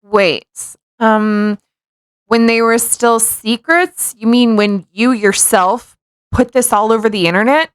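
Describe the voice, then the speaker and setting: A woman's voice: fairly high pitch, fairly even intonation, slow, smooth, no room sound, clean studio sound. A woman co-hosting a podcast, chatting casually and reading a post aloud in a blunt, joking way. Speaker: podcast co-host.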